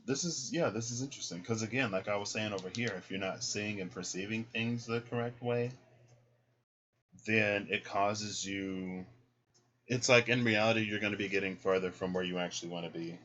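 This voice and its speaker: A man giving a casual spiritual tarot reading, explaining each card in a relaxed way and often tagging "right?" onto his points. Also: lowish voice, normal speed. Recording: dull lo-fi sound.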